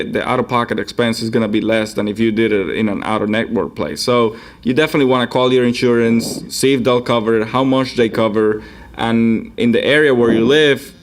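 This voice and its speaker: A man from Rico, a Spanish speaker, explaining things as a surgeon in a radio interview. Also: medium pitch. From Puerto Rico. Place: radio studio.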